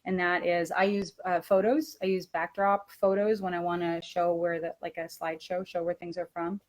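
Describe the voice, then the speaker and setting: A woman's voice: lowish voice, flat delivery, normal speed. A woman who teaches languages, co-presenting a webinar in conversational talk and repeating words for stress.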